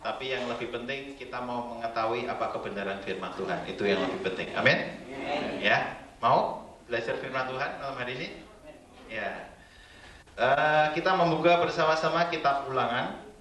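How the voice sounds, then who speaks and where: A man's voice: mid-range voice, moderate pace, sharp tone. A middle-aged man preaching a sermon to a congregation, joking playfully with them. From Indonesia. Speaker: guest preacher. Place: church hall. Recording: roomy church sound from a distant mic.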